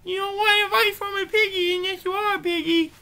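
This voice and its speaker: A performer voicing a vain, pushy, crude male pig in a comedic puppet-show style, squeaky and blustering. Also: fairly even intonation, a little fast, sharp tone, full character voice.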